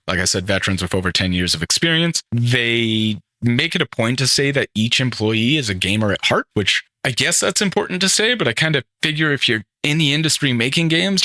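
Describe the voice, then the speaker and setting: A man's voice: mid-range voice, bright, forceful tone, dry recording. A young man hosting a gaming podcast alone, rambling and enthusiastic, often correcting himself as he talks through the games. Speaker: podcast host. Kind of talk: solo casual gaming podcast commentary.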